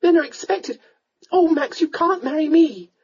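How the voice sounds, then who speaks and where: A woman's voice: high voice, normal speed, darkish tone, dull audio, pitched above the performer's own voice. A woman voicing a proud, emotional young Regency lady in a romance audiobook, earnest and pleading. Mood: anguished, pleading.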